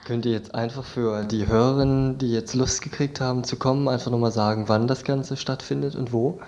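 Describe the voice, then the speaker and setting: A man's voice: medium pitch, steady tone, brisk, darkish tone, lo-fi sound. Guy doing a casual radio interview, ordinary mid voice, asking questions.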